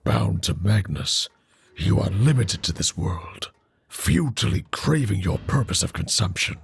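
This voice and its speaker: A husky, gravelly robot schemer. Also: male voice, low-pitched, lively intonation, full character voice. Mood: ominous. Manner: slow, formal, ominous. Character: grave, menacing robot schemer speaking in portentous pronouncements. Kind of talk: dramatic animated-series villain acting.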